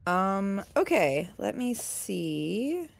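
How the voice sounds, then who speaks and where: A woman's voice: mid-range voice, big pitch swings, drawn-out words, gravelly, faint music behind. A woman chatting casually as a player in a tabletop game, tentatively thinking aloud.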